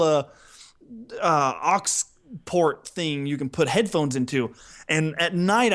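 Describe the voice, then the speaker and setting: A man co-hosting a podcast in casual chat, telling stories in an animated, self-deprecating way. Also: fairly high pitch. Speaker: podcast co-host.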